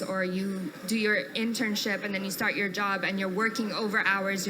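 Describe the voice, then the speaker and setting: A woman's voice: mid-range voice, noisy background. Earnest woman making an audience comment. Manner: earnest, rambling, laughs between points. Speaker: audience member at a panel, fashion buyer. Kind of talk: audience comment at a live event.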